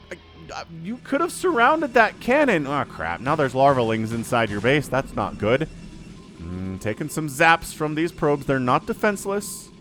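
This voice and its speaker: Male shoutcaster, slightly scratchy from a cold, very lively pitch, excitable, faint music underneath. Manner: excitable, shouts and yelps at big plays. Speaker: StarCraft shoutcaster. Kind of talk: solo game commentary.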